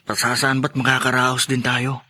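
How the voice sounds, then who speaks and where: A young man with a Filipino accent playing a tired, dutiful eldest son in a radio drama, gently reassuring. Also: medium pitch, monotone, normal speed. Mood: tired, grateful. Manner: reassuring, deferential.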